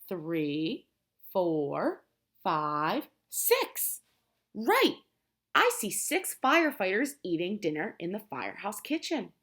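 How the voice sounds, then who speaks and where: A woman teacher reading a picture book aloud to young children, explaining simply and prompting them with questions in an expressive way. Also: medium pitch. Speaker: preschool teacher.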